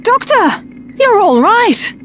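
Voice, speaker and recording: A woman's voice: very high voice, sing-song, slow pace, fairly bright tone, a little hiss or hum, heavily acted. An actress playing a loyal, earnest female companion to a time-traveller in an audio drama. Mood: relieved.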